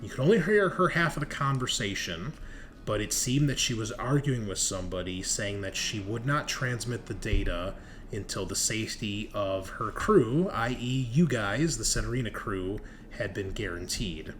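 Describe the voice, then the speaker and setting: A man's voice: on the low side, animated, normal speed, fairly bright tone. A man acting as game master, recapping a tabletop horror game in a dramatic, emphatic storytelling manner. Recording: noticeable background noise.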